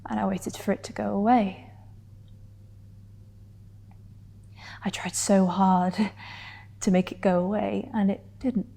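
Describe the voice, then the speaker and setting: A woman performing a dramatic stage monologue as a wounded, defiant young woman, deliberate and emphatically articulated with weighted pauses. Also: medium pitch, steady tone, vocal fry, warm tone. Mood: pained confession.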